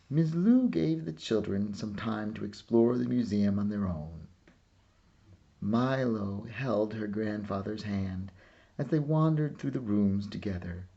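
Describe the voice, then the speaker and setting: A man narrating a children's picture book with measured, deliberate storytelling. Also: on the low side, gravelly, mellow, muffled recording. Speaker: storybook reader.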